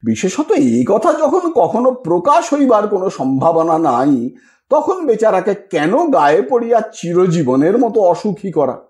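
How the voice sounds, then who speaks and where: A man playing a shrewd old gentleman, swooping pitch. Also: sing-song, unhurried, exaggerated voice. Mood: persuasive, exasperated. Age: older. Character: shrewd old Bengali gentleman, coolly calculating, self-righteous schemer.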